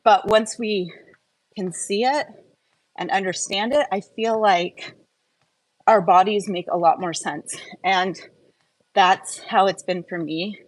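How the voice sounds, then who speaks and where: A woman's voice: medium pitch, takes it slowly, long pauses, no room sound, poor audio. Woman explaining things on an informal live stream. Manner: conversational, explains step by step with rhetorical questions. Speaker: host of a live wellness stream. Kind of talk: informal explanatory talk to a live online audience.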